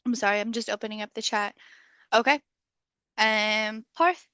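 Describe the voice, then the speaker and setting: A woman hosting an online community call, friendly and full of okays, slightly hoarse as she loses her voice. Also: on the high side, moderate pace, pushed and bright, no echo. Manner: friendly facilitator, many okays. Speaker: meeting host. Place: video call.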